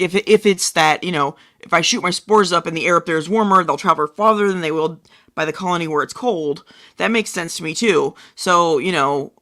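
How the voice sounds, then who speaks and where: Casting: male podcast co-host, higher voice with a bright, forward edge, conversational, thinking out loud. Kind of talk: casual chat, relaying a science story.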